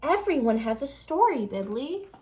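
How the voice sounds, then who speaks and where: A woman voicing a friendly, encouraging young girl in a children's storybook, speaking gently. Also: high-pitched, big pitch swings, moderate pace. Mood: gentle. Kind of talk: storybook character voice.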